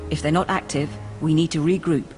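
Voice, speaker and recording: An actor playing a pragmatic, anxious military aide to a dark lord in a video game, brisk and practical. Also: mid-range voice, steady tone, over loud music, close to an everyday voice. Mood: tense planning. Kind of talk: video game cutscene acting.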